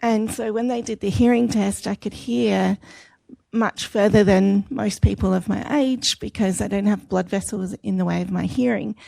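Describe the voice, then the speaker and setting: A woman's voice: medium pitch, steady tone, moderate pace, vocal fry, dark tone, dry recording, rough recording. An Australian woman in her fifties introducing herself to a class in a chatty, self-deprecating way. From Adelaide, Australia. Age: 50s. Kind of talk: self-introduction at a welcome session.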